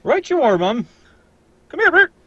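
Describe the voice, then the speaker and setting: Folksy, deferential London bystander man female performer: husky radio-drama part, dry dull sound. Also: sits high, very animated, a little slow, bright, forceful tone, dry recording, heavily acted. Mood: concerned. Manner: folksy, respectful. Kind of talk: old-time radio drama script read live. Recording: muffled, dry lo-fi audio.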